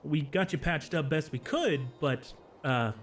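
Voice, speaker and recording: Hesitant, gentle burly pony played by a man. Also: on the high side, soft background music, close to an everyday voice. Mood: hesitant, uneasy. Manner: hesitant, halting. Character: burly male earth pony, gentle, tentative, worried. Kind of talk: audio drama character acting.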